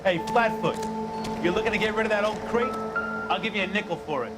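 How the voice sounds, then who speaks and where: A man's voice: sits high, quick pace, loud music behind the voice, lightly acted. An actor playing a mocking passer-by, heckling a policeman in a video game. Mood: taunting.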